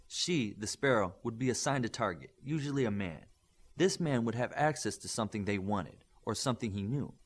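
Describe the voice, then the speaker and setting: A man's voice: lowish voice, expressive. Stern, emphatic male superhero instructor, read by a narrator. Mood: stern, lecturing. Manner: emphatic, didactic, measured lecturing with rhetorical questions. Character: stern, principled male instructor lecturing young superhero trainees. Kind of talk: audiobook character dialogue.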